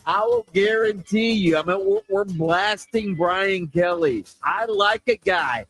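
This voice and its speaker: A man's voice: high-pitched, unhurried, fairly bright tone, soft background music. A man telling an emphatic story about his days covering sports as a columnist.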